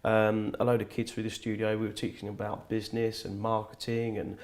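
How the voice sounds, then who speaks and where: Guy on a chat show, kinda gravelly, lots of um and restarts, lowish voice. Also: level tone, a little fast, a little mellow. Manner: hesitant, many restarts. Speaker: guest. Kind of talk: interview chat.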